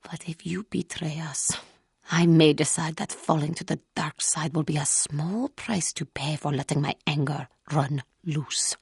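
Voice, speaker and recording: An actress voicing a proud, solemn alien woman warrior in a video game, with formal, measured diction and a wounded, bitter temper. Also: deep voice, fairly even intonation, a little mellow, slightly rough, no room sound, studio quality, heavily acted. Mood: seething. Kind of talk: scripted game character dialogue.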